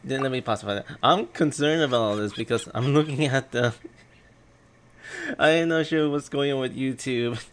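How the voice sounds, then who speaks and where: A man's voice: medium pitch, moderate pace, a bit bright. A man chatting casually to livestream viewers in a hesitant, rambling, self-effacing way. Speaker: game livestreamer. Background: game music.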